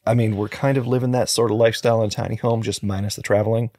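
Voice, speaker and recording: A man co-hosting a true crime podcast, telling the story in a relaxed, joking way with lots of fillers. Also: fairly low pitch, rapid-fire, no echo, clean studio sound. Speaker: podcast co-host.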